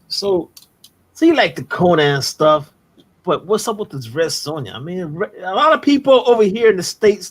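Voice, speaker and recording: A man hosting a casual online show, talking in a laid-back slangy way with many fillers. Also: fairly high pitch. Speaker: host. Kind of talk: casual chat, channel shout-out.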